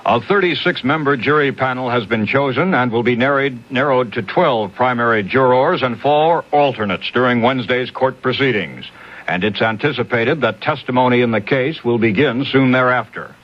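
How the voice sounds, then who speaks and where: A man's voice: mid-range voice, normal speed, highs cut off. A man working as a radio newscaster, reading a scripted 1970s news bulletin on an old AM radio recording.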